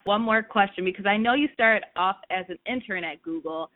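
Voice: mid-range voice, fairly quick. A podcast host putting friendly, direct questions to guests. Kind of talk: interview questions. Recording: tinny phone-like line.

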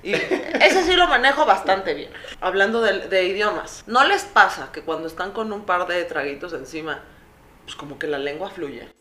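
A woman's voice: fairly low pitch, animated, moderate pace, sharp tone. A woman with a Mexican accent co-hosting a casual comedic chat, playfully switching between languages and accents. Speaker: co-host. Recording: echoey room with faint noise.

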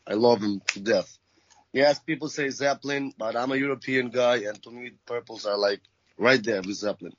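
A man's voice: medium pitch, moderate pace, no room sound. A man from Albania, a drummer and band guest, chatting casually in an interview with some hesitant restarts. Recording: dry muffled recording.

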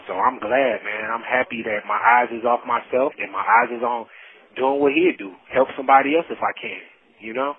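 A man's voice: mid-range voice, normal speed, husky. A man giving heartfelt, preachy testimony in casual conversation, repeating phrases for emphasis. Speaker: incarcerated storyteller. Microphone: phone call. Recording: muffled lo-fi phone call.

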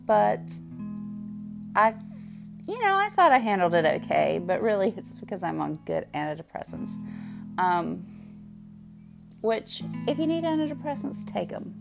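A young woman with a Southern US accent, chatting candidly and rambling on her solo podcast, full of fillers and pauses. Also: on the low side, vocal fry. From Southern United States. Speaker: podcast host.

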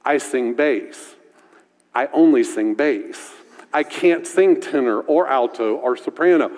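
A male pastor with a deep voice preaching a sermon in a conversational way, often asking "right?". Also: takes it slowly, darkish tone, in a large hall.

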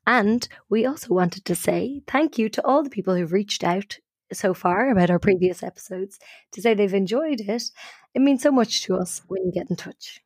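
A woman's voice: mid-range voice, lively intonation, normal speed, darkish tone, breathy edge, no room sound. Irish woman podcast host, casual chat, dry close recording. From Ireland.

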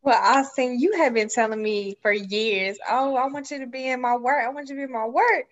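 A woman's voice: a little high, fairly even intonation, a little fast, muffled recording. A woman being interviewed as a cast member, talking casually. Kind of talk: casual chat.

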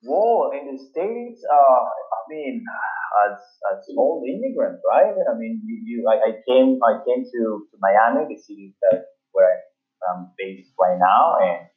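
A man's voice: medium pitch, lively intonation, measured pace. A middle-aged man from Ecuador with an Ecuadorian Spanish accent, telling his career story in an interview with many fillers and restarts. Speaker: sports agency founder. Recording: tinny phone-like line.

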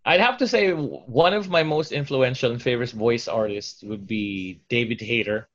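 A man's voice: medium pitch, moderate pace, a bit bright. A Filipino man talks through an interview in an easygoing, rambling way, with many fillers and restarts as he thinks aloud. His voice sits mid-range, and the recording sounds dull and lo-fi. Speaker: voice-over artist. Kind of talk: casual interview chat mixing Tagalog and English.

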